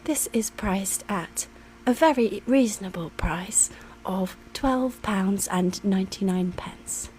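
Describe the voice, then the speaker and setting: A woman doing an ASMR roleplay as a reassuring, attentive air hostess, speaking softly and soothingly, sometimes in a whisper. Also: mid-range voice, slow, hardly any pauses, slight background noise, low-quality recording. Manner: soft-spoken, soothing, partly whispered.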